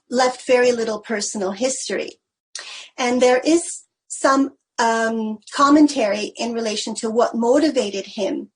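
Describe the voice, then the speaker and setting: A woman giving an academic lecture in an online webinar, speaking in a measured way with some hesitant fillers. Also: on the high side, slightly rough, poor audio. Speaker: research fellow giving a webinar talk.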